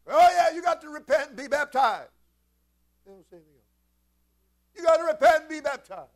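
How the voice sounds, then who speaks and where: A man preaching a sermon as a pastor, folksy and informal, moving between conversational storytelling and emphatic, animated delivery. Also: very high-pitched, moderate pace. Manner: animated, swinging from quiet conversational asides to loud emphatic shouts. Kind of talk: Pentecostal-style sermon with storytelling.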